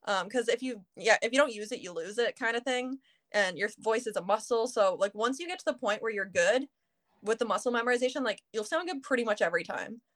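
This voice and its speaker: A woman's voice: on the high side, lively intonation, quick pace, fairly bright tone. Young woman, early 20s, from Canada, singer, interview guest, casual, chatty, lots of "like" fillers. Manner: chatty, heavy use of "like" fillers.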